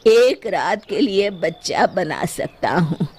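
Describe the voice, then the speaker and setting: Woman as a slow, solemn wise holy man. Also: fairly low pitch, animated, a little mellow, breathy edge, heavily acted. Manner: solemn, measured instruction. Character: calm, wise male holy sage advising villagers. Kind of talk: children's story character acting.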